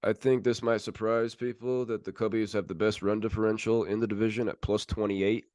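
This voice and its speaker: A man's voice: fairly low pitch, flat delivery, brisk, a little mellow, no echo. A man hosting a sports podcast, giving casual commentary as he runs through standings.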